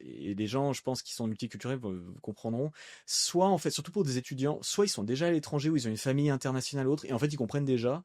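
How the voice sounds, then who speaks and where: A man's voice: medium pitch, lively intonation, quick pace, darkish tone, no echo, studio quality. A man in his thirties from France, a guest on a podcast, talking casually and rambling with lots of fillers and restarts. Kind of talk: casual conversational interview.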